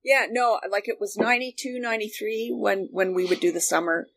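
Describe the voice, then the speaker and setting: A woman's voice: mid-range voice, fairly quick, a bit bright, rough recording. A woman reminiscing casually as a guest in an informal conversation. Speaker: podcast guest, former radio producer.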